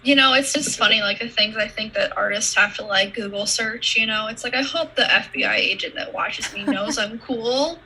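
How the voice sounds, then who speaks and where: A woman's voice: fairly high pitch, normal speed, hardly any pauses, sharp tone, breathy edge, low-quality recording. A woman artist chatting casually and jokingly over a video call.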